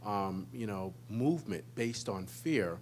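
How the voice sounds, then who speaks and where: A man in the audience, low-pitched, asks a long, halting question full of ums and you-knows. A faint hum sits behind him. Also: lively intonation, a little mellow. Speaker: audience member asking a question.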